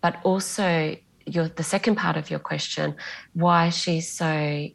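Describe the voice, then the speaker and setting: Gravelly-voiced Australian woman, reflective and low. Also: fairly even intonation, unhurried. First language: Greek. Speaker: novelist guest. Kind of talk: podcast interview.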